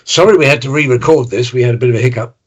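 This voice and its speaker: A man's voice: mid-range voice, rapid-fire. A man hosting a podcast interview, friendly and thoughtful, summarizing what his guest says. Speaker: podcast host.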